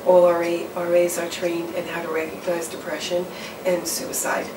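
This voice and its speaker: A middle-aged woman answering interview questions as a campus counselor, measured and explanatory, with frequent fillers and restarts. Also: fairly low pitch, completely flat delivery. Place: office or studio in front of a backdrop. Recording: roomy, distant mic with background noise.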